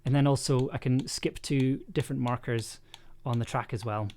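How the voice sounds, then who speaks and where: A man chatting casually on a livestream as he works, enthusiastic but halting, repeating words and letting thoughts trail off. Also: mid-range voice, fairly even intonation, a little mellow. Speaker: audio drama maker livestreaming an editing session. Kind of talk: casual livestream chat while working.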